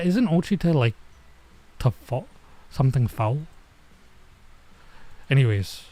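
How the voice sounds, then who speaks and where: Casual, mellow-voiced man streaming. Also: medium pitch. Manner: halting, thinking aloud, mixing in Japanese phrases. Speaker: streamer, let's player. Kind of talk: casual let's-play commentary, reading game dialogue aloud.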